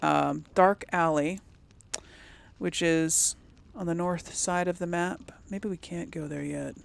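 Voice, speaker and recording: A woman with a slightly low voice hosts a casual let's play. She talks to her audience in a chatty, informal way and thinks aloud as she plays. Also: steady tone, slow, vocal fry. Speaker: gaming video host.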